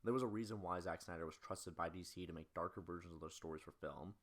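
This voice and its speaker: A young man with a very low voice delivers scripted video essay narration at a quick clip. He moves briskly from point to point in the manner of a film critic. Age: early 20s.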